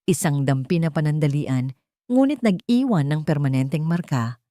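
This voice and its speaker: A woman narrating a scripted romance story in a deliberate, emotive, dramatic way. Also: sits low, very animated, dark tone, no echo, clean studio sound.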